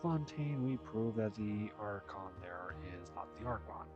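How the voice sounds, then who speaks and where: A man's voice: on the low side, animated, measured pace, lo-fi sound. A man giving casual, jokey, rambling commentary over a game playthrough. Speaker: gaming streamer. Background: game music.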